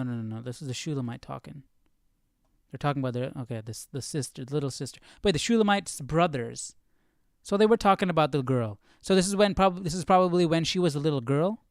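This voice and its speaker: A man's voice: fairly high pitch, no echo, studio quality. A man hosting a live Bible study, thinking aloud in casual commentary and puzzling through questions as he goes.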